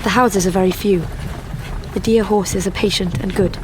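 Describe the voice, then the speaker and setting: An actress playing a brave, intelligent young Victorian wife, speaking precisely and earnestly in a period audio drama. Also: female voice, medium pitch, fairly even intonation, normal speed, noisy background, close to an everyday voice. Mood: awed, weary.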